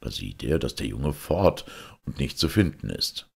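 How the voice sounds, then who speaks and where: A man reading a fairy tale aloud as scripted narration, with expressive storytelling delivery. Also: rumbling low, measured pace, gravelly, husky. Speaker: audiobook reader.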